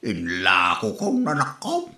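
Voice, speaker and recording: Feckless hard-drinking older dad, Ilocano accent, pleading and animated, bright voice male actor, for comedy audio drama. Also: low voice, measured pace, heavily acted. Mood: sheepish pleading. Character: feckless older father who drinks and squanders money. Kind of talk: Ilocano comedy radio-style drama acting. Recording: roomy echo.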